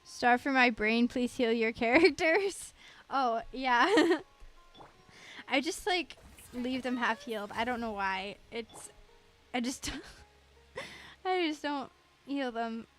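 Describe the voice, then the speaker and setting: A woman's voice: on the high side, animated, slow, pauses often, a bit bright, soft background music. A woman livestreamer chatting casually over a game, giggly, rambling and self-deprecating. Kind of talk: casual gaming livestream chat.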